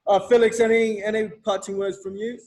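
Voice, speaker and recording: High-voiced class host, warmly halting delivery. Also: male voice, level tone. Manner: praising, hesitant with fillers. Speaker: English class organizer. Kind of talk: online class chat.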